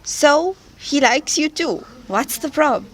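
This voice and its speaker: A woman's voice: sits high, lively intonation, slow, fairly bright tone, exaggerated voice. A woman playing a practical, slangy teenage best friend in an audio drama. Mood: casual concern. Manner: casual, slangy.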